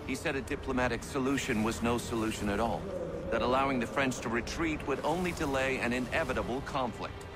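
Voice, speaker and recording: Male actor for period military man, game drama. Measured, formal, middle register, heavy score behind. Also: mid-range voice, over loud music, natural voice. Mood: sober. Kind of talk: dramatic video game acting.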